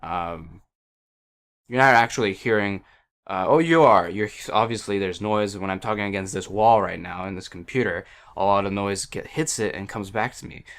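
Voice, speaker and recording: A man's voice: lowish voice, normal speed, a bit bright. Rambling man talking into a headset. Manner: hesitant, many fillers and restarts, self-deprecating. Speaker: livestreamer explaining audio settings. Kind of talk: casual rambling tech explanation. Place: at a computer by a wall indoors.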